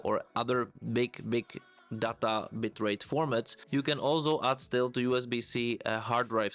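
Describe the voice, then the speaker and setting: A man's voice: mid-range voice, fairly bright tone, clear, resonant tone. Enthusiastic man reviewing a camera. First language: Czech. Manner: enthusiastic, loose non-native phrasing. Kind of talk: casual gear review.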